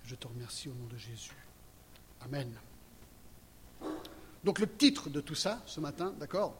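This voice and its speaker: A man's voice: mid-range voice, animated, a little slow, very noisy. A man in his sixties, American raised in Geneva, preaching a sermon and sharing his testimony, chatty and often checking in with the congregation. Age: 60s. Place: church hall. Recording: long reverb hall, faint ambience.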